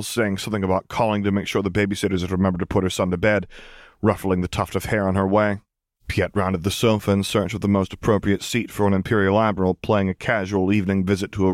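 A man's voice: deep voice, little pitch movement, fast talker, dry recording, clean studio sound. A man reading a story aloud as scripted narration. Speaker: audiobook reader.

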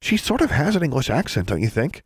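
A man voicing a stubborn, excitable schoolgirl with an insistent, dramatic way of talking, in an audiobook. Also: medium pitch, fast talker, husky, no echo, clean studio sound, pitched above the performer's own voice. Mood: conspiratorial.

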